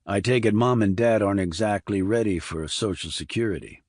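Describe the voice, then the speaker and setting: Man voicing a low, deadpan army investigator. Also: fairly quick, no room sound, studio quality. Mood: sardonic. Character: wry, sardonic male army investigator. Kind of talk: audiobook character dialogue.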